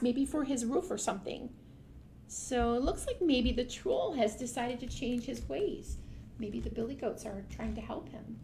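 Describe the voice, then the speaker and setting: A woman's voice: high-pitched, a little mellow, slight background noise. A woman hosting a children's storytime, explaining things simply and putting questions to young listeners. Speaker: library storytime host. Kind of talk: children's storytime read-aloud with asides and questions.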